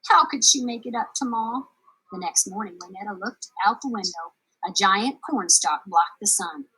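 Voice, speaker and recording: A woman's voice: medium pitch, expressive, moderate pace, sharp tone, slightly rough, rough recording. A woman reading a children's story aloud expressively as a storyteller.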